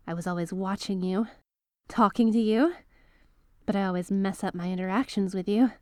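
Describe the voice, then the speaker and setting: An obsessive veteran magical girl played by a woman confides in her student in an ASMR audio drama. Her voice is mid-pitched and very dry, as if close by. She is coaxing and lovestruck, a devoted teacher with a possessive streak. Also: a little mellow, no room sound, natural voice.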